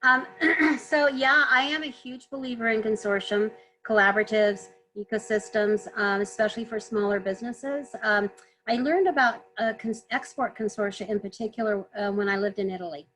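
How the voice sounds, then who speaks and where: A woman guest on a video call webinar, answering earnestly, clearing her throat often as she is losing her voice. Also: mid-range voice, moderate pace, sharp tone, rough recording. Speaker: trade consultant guest.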